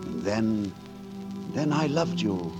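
An actor playing a gallant, roguish gutter poet and leader of rabble in a theatrical, declamatory 1940s radio drama style. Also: male voice, on the low side, very animated, takes it slowly. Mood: tender, rueful. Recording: 1940s radio broadcast with music behind.

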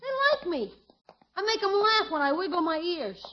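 A man's voice: very high-pitched, expressive, moderate pace. A man playing a cheeky, whiny young nephew with an American accent, protesting and wheedling in 1950s radio comedy style. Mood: reluctant whining. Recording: muffled, noisy vintage radio recording.

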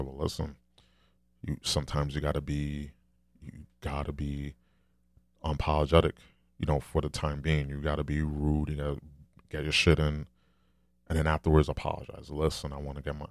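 A man with a deep, low, soft voice, talking candidly and rambling in a casual solo monologue, swearing now and then. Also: no echo, studio quality.

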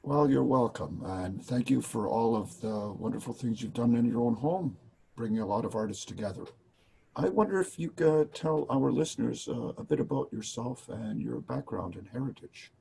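A man hosting a podcast, asking a guest polite, measured questions. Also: medium pitch, expressive, gravelly, dark tone. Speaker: podcast host. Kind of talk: interview hosting.

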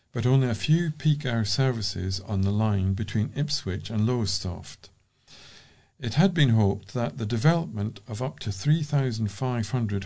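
A man's voice: on the low side, mellow. A man reading local news items aloud as a volunteer newsreader.

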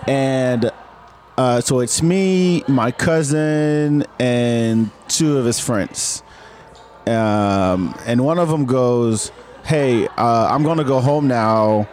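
A man's voice: mid-range voice, slow, darkish tone, slight background noise. Rambling man from Senegal telling a story. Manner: rambling, repeats words, acts out dialogue. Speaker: guest storyteller. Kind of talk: casual spoken ghost story.

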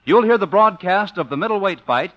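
A man's voice: high voice, animated, brisk, highs cut off. An American man reading scripted announcements as a 1950s network radio announcer. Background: music.

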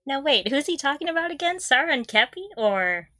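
A woman's voice: high-pitched, very animated, fairly quick, pushed and bright, dry recording, studio quality. A woman co-hosting a podcast in a casual fan chat, often laughing through her sentences. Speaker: podcast co-host.

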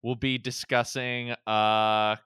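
A man hosting a casual film podcast, speaking in a slightly halting, conversational way. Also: mid-range voice, flat delivery, pushed and bright, clean tone, no room sound, clean studio sound. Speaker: podcast host.

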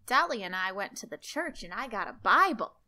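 A man in falsetto as a prim, coy young woman. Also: very animated, a little fast, sharp tone, exaggerated voice.

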